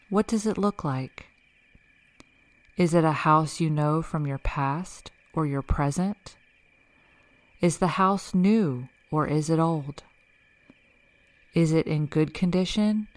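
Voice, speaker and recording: A woman leading a guided meditation in a calm, measured, instructional way, reading scripted narration. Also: sits low, dry recording. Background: background music.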